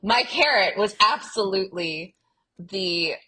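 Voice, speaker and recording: A woman's voice: mid-range voice, lively intonation, slow, pushed and bright, slightly rough, rough recording. A woman co-hosting a podcast, chatting casually and expressively as a fan and acting out quotes with drama. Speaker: podcast co-host discussing an audio drama. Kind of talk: animated casual fan chat.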